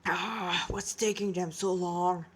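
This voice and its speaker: A woman's voice: lowish voice, steady tone, slow, breathy edge. A woman voicing a protective male boss in an audio story, worried but sternly professional. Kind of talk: dramatic amateur audio story.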